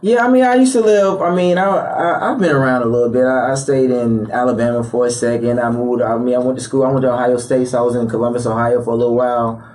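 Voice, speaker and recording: A man's voice: mid-range voice, quick pace, hardly any pauses, a little mellow, far from the mic. A young man born in Chicago and raised around Atlanta, a rapper talking loosely in a podcast studio interview with lots of filler words. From Atlanta area, born in Chicago. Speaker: rapper guest. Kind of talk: casual interview chat. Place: small podcast studio with foam panels. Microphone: studio mic on boom arm.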